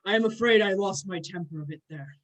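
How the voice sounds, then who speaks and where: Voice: mid-range voice, fairly quick, natural voice. An amateur actor playing a grand, short-tempered male thunder god with a formal, pompous manner in a myth play reading. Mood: stern, composed.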